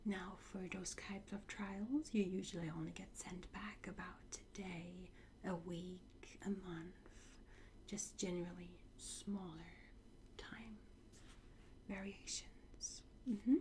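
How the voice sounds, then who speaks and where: A woman whispering gently and reassuringly in a breathy voice, close to the microphone, playing a calm, courteous trainer in an ASMR roleplay. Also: lowish voice. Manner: slow, gentle, reassuring, with soft pauses and hums. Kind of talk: soft whispered ASMR roleplay, addressing the listener. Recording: close whisper with clear background noise.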